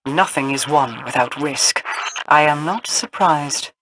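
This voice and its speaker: An actress playing a solemn, imperious queen in a sci-fi video game, speaking in a formal, measured way. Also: low-pitched, background noise. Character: regal, solemn, commanding queen of a space realm.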